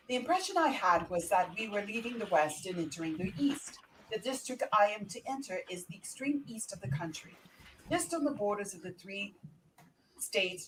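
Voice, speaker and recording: A woman's voice: medium pitch, recorded from a distance, close to an everyday voice. Woman reading as a polite clerk, noisy recording. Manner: formal, deferential. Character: polite, earnest young male solicitor's clerk. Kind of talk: amateur script read-through.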